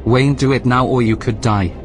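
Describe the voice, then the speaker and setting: Man acting as a calm, clinical station computer. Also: medium pitch, soft background music, natural voice. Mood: urgent, commanding. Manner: formal, measured, polite. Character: calm, clinical male artificial intelligence running a research station. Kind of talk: science-fiction audio drama acting.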